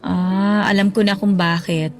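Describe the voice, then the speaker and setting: A woman's voice: medium pitch, steady tone, a little slow, darkish tone, soft background music, lightly acted. A woman playing a kind, encouraging schoolteacher who talks in a friendly, reassuring way in a radio drama. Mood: concerned, gentle. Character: kind, encouraging female schoolteacher, tactfully curious.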